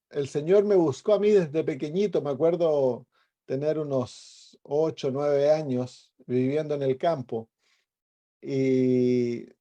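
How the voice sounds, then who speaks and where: A middle-aged man with a Chilean accent speaks as an evangelist pastor giving his testimony. His voice is slightly high for a man, with a dark, relaxed tone. He tells his story conversationally, pausing now and then as he searches for words. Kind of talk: personal testimony.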